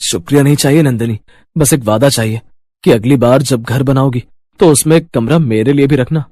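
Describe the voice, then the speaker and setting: A man's voice: medium pitch, fairly quick, a little mellow, dry recording, studio quality, lightly acted. A man voicing an earnest, bold young village hero in a cartoon moral story. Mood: tender.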